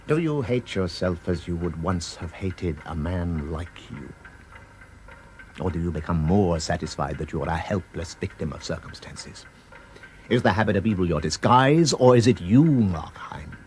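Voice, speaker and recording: An actor playing a sinister, persuasive tempter in a radio drama, taunting and relentless, with an insistent theatrical delivery full of probing questions. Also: male voice, deep voice, lively intonation, normal speed, a little mellow, slight background noise, poor audio. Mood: probing, scornful. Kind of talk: dramatic British radio play acting.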